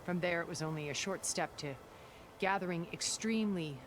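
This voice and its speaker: An actress voicing a cultured, centuries-old woman recluse in a video game cutscene, speaking in a calm, deliberate and elegant way. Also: on the low side, noisy background, natural voice. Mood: measured. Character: cultured, ancient woman recluse, measured and regretful. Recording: game cutscene with music underneath.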